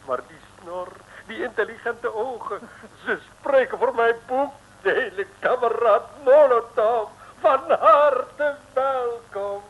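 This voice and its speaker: A man's voice: very high-pitched, dull audio, exaggerated voice. An actor playing a fawning, effusive conspirator who draws out his words in a 1960s radio comedy. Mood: effusive welcome. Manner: effusive, obsequious, drawn-out emphatic words. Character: fawning male conspirator, effusively devoted to the cause. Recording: noisy old 1960s radio recording.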